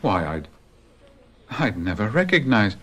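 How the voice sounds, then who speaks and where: Stiff, well-bred gentleman, lively pitch. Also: a little slow, slightly rough, heavily acted. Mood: awkwardly polite. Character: stiff, well-bred young husband, courteous but disdainful. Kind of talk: radio drama acting.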